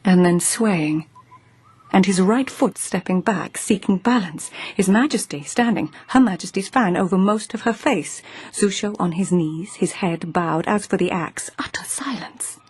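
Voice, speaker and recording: A woman's voice: on the low side, measured pace, a little mellow, breathy edge. An actress playing a poised, witty court lady who also narrates, speaking in a dry, wry way. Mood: narrating. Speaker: narrator and lead character. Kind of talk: radio drama acting and narration.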